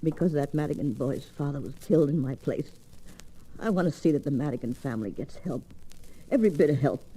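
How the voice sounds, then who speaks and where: An actress with an American accent playing a strong-willed, big-hearted saloon owner in an old-time radio western. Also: female voice, low-pitched, lively intonation, brisk, mellow, breathy edge. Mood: earnest, commanding. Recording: noisy vintage radio recording.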